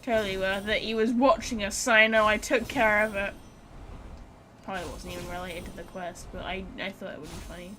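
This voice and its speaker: A woman's voice: mid-range voice, steady tone, a little fast, music in the background. A woman doing casual let's-play commentary as a gaming streamer, blunt, sweary and joking.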